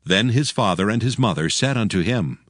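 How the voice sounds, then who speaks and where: A man narrating dramatized scripture as a solemn, measured storyteller. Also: on the low side, lively intonation, a bit bright, no room sound.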